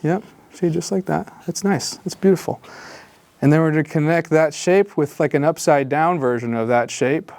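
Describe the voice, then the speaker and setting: A man with a fairly high voice guides viewers through a drawing step by step, joking and wandering off into asides. His tone stays easygoing and encouraging. The sound is dry and close, with no room echo. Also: moderate pace, creaky. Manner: jokey, rambling, mock-gentle encouragement with asides and laughs; drops to whispers while concentrating on drawing. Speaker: drawing instructor. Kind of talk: playful drawing tutorial.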